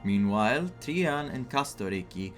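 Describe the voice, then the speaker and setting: Excitable young man with Greek accent. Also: on the low side, measured pace, soft background music. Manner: excitable, laughs often, chatty. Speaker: streamer. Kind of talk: casual gaming commentary, reading quest text aloud.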